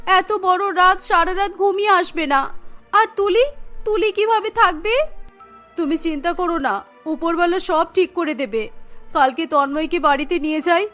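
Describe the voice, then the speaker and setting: A woman's voice: very high-pitched, normal speed, sharp tone, faint music behind. A woman voicing an earnest, pleading woman of a poor family in a cartoon story. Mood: anxious, distressed.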